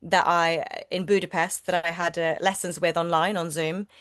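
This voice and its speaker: Swedish-native actress in an interview, low-pitched, chatty, restarts a lot, dry close sound. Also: female voice, level tone, normal speed, fairly bright tone, no room sound. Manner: chatty, many restarts and repeated words. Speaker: actor guest. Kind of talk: casual interview chat.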